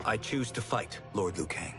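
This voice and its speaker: A man's voice: on the low side, normal speed, natural voice. Man playing an earnest young warrior monk, music swelling. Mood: earnest, determined. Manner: formal, respectful, sincere. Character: earnest, humble young male warrior monk, quietly determined. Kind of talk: video game cutscene acting. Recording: very loud cinematic music behind.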